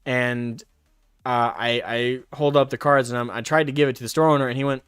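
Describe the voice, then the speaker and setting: A man's voice: medium pitch, fairly even intonation, a little fast, fairly bright tone, smooth, dry recording, studio quality. A man streaming casually, reading card text aloud and drifting into rambling personal stories. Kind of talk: casual live stream chat.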